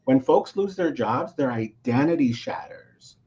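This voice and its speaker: A man's voice: mid-range voice, measured pace, muffled recording. A man from Mexico who was raised in Texas, a coach talking animatedly in a casual interview and often checking in with "right?". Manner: animated, keeps adding tag questions. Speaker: coach, podcast guest.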